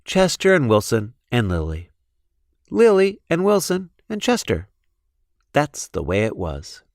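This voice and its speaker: Slow, very expressive male storybook reader. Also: medium pitch, dry recording, clean studio sound. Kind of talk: expressive scripted children's narration.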